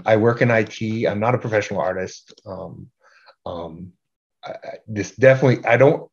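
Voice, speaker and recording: A middle-aged man giving an informal slide presentation as a group organizer, halting and repeating words in a self-deprecating way. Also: on the low side. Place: indoor room at home, on a video call. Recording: dull, lo-fi video call audio.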